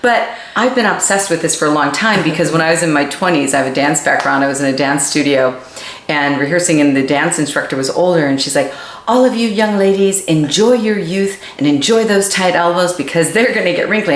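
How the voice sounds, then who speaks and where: Bubbly woman in her fifties, lowish voice. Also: fairly quick, roomy sound. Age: 50s. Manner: bubbly, laughs often. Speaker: co-host. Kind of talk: casual chat.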